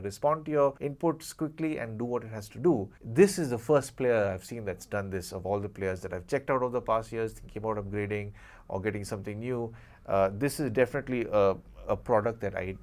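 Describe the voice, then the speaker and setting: A man giving a casual, unscripted product review, hesitating and rephrasing as he goes and repeating points for emphasis. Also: medium pitch. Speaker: tech reviewer, channel host.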